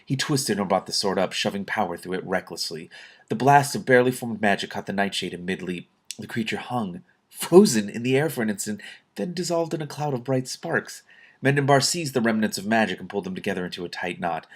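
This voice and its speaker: A man reading a fantasy novel aloud as host, expressively, with casual off-the-cuff asides. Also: sits low.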